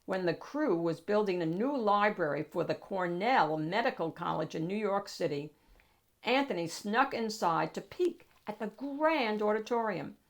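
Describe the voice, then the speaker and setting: A woman narrating a children's picture book in an expressive, emphatic storytelling manner with deliberate phrasing. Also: medium pitch. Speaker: storybook reader, read-aloud host.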